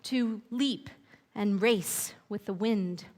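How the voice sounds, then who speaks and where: A woman voicing a swift, free-spirited antelope, speaking in a lilting, unhurried way. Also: mid-range voice, a little mellow, big-room sound, voice pushed down. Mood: joyful.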